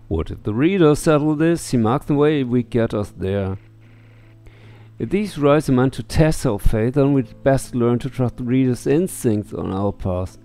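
A man voicing a friendly, earnest exile in a fantasy game, a calm peacemaker with an encouraging manner. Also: mid-range voice, measured pace, close to an everyday voice. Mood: earnest, reassuring. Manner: encouraging, sincere.

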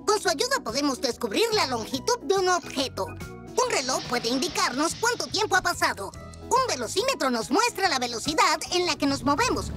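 An actor voicing an earnest young boy, a tiny cartoon creature, with an expressive delivery in an animated dub. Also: high voice.

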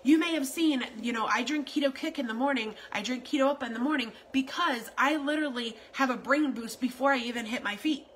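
A woman in her forties rambles and repeats herself as she promotes a wellness product during a live phone stream in her home kitchen. Her voice is bright and forceful and sits a little high. A faint hiss runs underneath. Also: a little fast, few pauses, low-quality recording. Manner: chatty, rambling, repetitive, salesy. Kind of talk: casual live video promo. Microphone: phone camera at arm's length.

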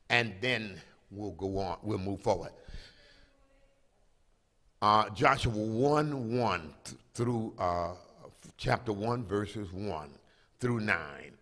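An older man pastor preaching a sermon from a pulpit with a handheld microphone, calling out to the congregation and drawing out key phrases for emphasis. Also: on the low side. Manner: preaching with call-and-response, rising to loud emphatic proclamation. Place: large church sanctuary, at a pulpit. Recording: long reverb of a large hall.

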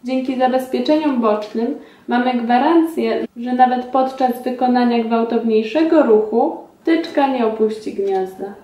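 A woman in her thirties giving formal, measured scripted instructions as a trainer in a small indoor room. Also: on the high side, dark tone. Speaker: instructor presenting a beauty device. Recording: roomy echo, distant mic.

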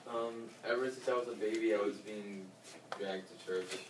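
A teenage boy answers in class, hesitating and restarting as he talks. His voice is somewhat low. He sounds far from the mic in a noisy, echoing room. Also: steady tone, normal speed. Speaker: student.

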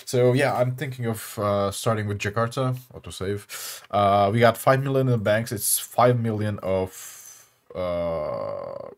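A young Turkish man with a Turkish accent, speaking English as a second language, hosting casual gameplay commentary with many pauses and fillers. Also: fairly low pitch, expressive, gravelly. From Turkey. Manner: halting, many fillers and restarts. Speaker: channel host.

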